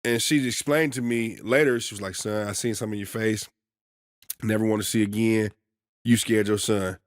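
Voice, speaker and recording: A man in his thirties talks on a podcast in a loud, commanding voice, leaning hard on his points as he tells stories. The sound is dry and close, with no room around it. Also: on the low side, steady tone, moderate pace, no room sound. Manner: loud, commanding, emphatic storytelling. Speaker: podcast co-host. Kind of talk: casual podcast chat.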